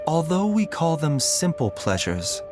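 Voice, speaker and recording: An actor playing a gentle, philosophical young doctor in a video game, measured, eloquent and soft-spoken, calm and resolute. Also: male voice, a little high. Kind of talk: scripted video game acting. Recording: music under the voice.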